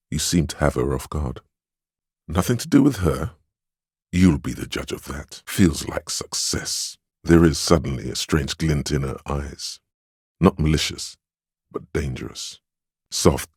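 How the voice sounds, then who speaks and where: An actor playing a stern, weary inner voice of willpower in a video game, speaking in a deliberate, grave, admonishing way with wry, protective authority. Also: male voice, very deep voice, a little mellow, husky, no echo, studio quality, exaggerated voice. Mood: exasperated, grave, then hushed, solemn. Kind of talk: dramatic second-person game narration and inner-voice dialogue.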